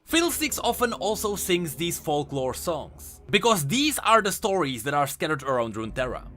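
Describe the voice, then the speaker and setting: A man's voice: fairly high pitch, very animated, moderate pace, a bit bright, soft background music. A man hosting a scripted explainer video as a game lore commentator.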